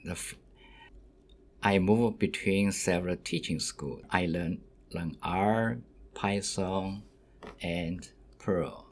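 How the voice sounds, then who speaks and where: Low-voiced, slow-talking male lecturer, non-native English. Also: steady tone. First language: not English. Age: middle-aged. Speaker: university lecturer. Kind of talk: lecture presentation to students.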